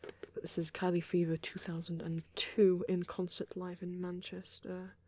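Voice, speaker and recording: A woman voicing a shy vampire boy who rambles gently in an ASMR roleplay, soft-spoken and hesitant, slipping into breathy whispers. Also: fairly low pitch, flat delivery, measured pace, slight background noise, close to an everyday voice. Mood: shy, musing.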